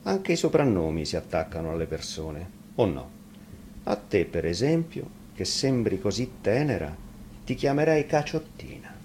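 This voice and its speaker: A man's voice: lowish voice, sing-song, moderate pace, a little mellow. A man playing a sly shepherd in a fairy tale, explaining things in a folksy, teasing manner. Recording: noisy live radio reading.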